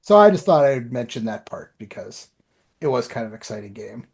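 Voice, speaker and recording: A man's voice: medium pitch, big pitch swings, brisk, darkish tone, breathy edge. A man telling anecdotes in a rambling, informal way as a podcast co-host. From Canada. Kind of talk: casual chat, anecdotes.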